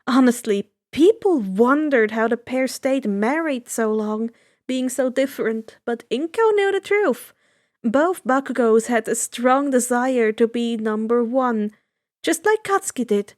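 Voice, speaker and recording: A woman reading fan fiction aloud as expressive scripted narration. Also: fairly high pitch, unhurried.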